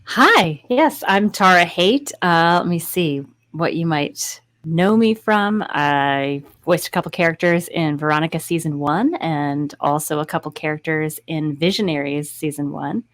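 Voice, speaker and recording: A woman's voice: deep voice, expressive, unhurried, few pauses, fairly bright tone, no room sound. A young woman, a voice actor, introducing herself in hesitant, giggly casual chat. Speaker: voice actor, panel guest. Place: indoor room.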